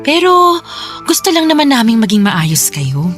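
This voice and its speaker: Caring mom type, gently scolding, radio drama, music behind her. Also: a little high, sing-song, a little slow. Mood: reassuring. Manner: affectionate, gently scolding, motherly. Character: warm, protective motherly older woman, Mary. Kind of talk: Tagalog radio drama dialogue.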